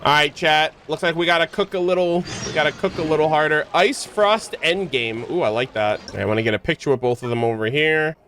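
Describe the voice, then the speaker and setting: A man giving casual, expressive reaction commentary as a game streamer, slangy and prone to swearing. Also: fairly high pitch, brisk, few pauses, slight background noise.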